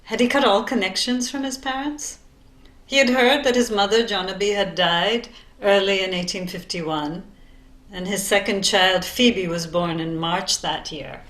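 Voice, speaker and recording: A woman narrator in a very roomy, echoing recording. She delivers scripted biographical drama with deliberate, expressive storytelling and theatrical emphasis on key phrases. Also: mid-range voice.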